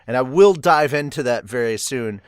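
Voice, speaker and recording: A young man chats casually through a manga review on his podcast, trailing off and restarting as he goes. His voice is mid-pitched, and the recording is dry and close. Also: animated, moderate pace, clear, resonant tone, no room sound. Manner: rambling, filler-heavy, chatty. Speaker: podcast host.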